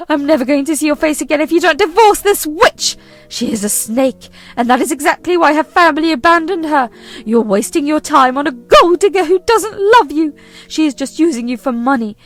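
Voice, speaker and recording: A woman's voice: sits high, animated, brisk, fairly bright tone, soft background music, pitched above the performer's own voice. A woman voicing a manipulative older mother-in-law who whines, accuses and melodramatically plays the victim. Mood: shrill accusing.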